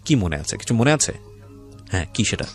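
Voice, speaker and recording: A man's voice: on the low side, sing-song, fairly quick. A man with a Bangladeshi accent hosting a radio interview, speaking emphatically, repeating phrases and mixing in English words. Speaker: radio host. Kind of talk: radio interview and commentary on life stories. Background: faint music.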